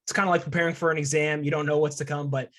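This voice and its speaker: A man's voice: fairly high pitch, little pitch movement, rapid-fire. A young man hosting a casual student interview over a video call, effusive and affirming with his guest. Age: young adult. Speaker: student interviewer, podcast host.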